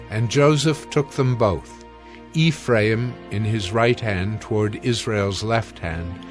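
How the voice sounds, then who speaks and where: A man narrating scripture from a script in a measured, formal manner. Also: mid-range voice.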